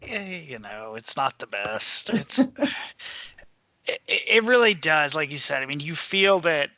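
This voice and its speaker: Male co-host, very bright and forceful higher voice, slow casual delivery. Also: big pitch swings. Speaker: podcast co-host. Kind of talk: casual chat.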